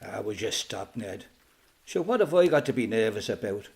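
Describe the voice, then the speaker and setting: A man's voice: lowish voice, big pitch swings, moderate pace, vocal fry, darkish tone. An actor playing an older Dublin father and former freedom fighter in a radio play, with an Irish accent and a folksy, colloquial way of talking. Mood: easygoing, hospitable. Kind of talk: radio play acting, conversation and reminiscence.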